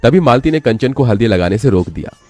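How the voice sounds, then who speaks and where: A man's voice: medium pitch, expressive, fast, darkish tone, no room sound. A man narrating a scripted cartoon story in a dramatic storytelling style.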